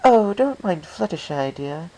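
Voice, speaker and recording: A man's voice: high voice, very animated, a little slow, dark tone, voice pushed up, exaggerated voice, low-quality recording. A man voicing a flirtatious, refined female storybook pony with an airy, affected, purring manner. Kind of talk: storybook character voice in fan fiction reading.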